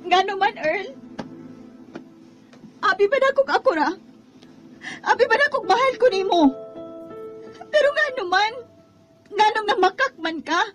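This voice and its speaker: A woman's voice: very high voice, animated, measured pace, pauses often, fairly bright tone, exaggerated voice. An actress playing a hurt, accusing young schoolgirl girlfriend in a Filipino radio drama, with a Filipino accent. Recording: dull lo-fi sound with faint music.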